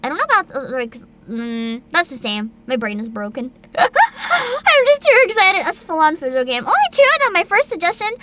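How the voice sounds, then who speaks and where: A woman streamer in casual livestream chat, excitable and giggly, rambling with frequent laughter. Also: extremely high pitch, normal speed, slight background noise. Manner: reads a plot description aloud with asides. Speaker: VTuber streamer.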